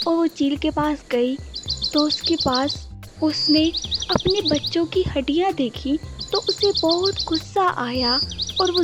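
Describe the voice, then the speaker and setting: A woman reading a scripted children's story as its narrator. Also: high voice. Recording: children's music behind voice.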